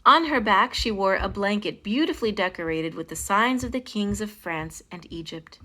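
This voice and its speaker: A woman reading a picture book aloud as a storytime host, in an expressive, dramatic style with stressed phrases. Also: medium pitch, normal speed, low-quality recording. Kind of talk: expressive children's read-aloud.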